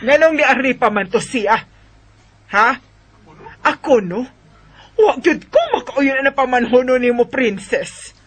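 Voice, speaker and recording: A disapproving mother in a radio drama. Her voice is high and her manner sharp-tongued, nagging and indignant as she scolds. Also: a little slow, long pauses. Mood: indignant, scolding. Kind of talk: Filipino radio drama acting.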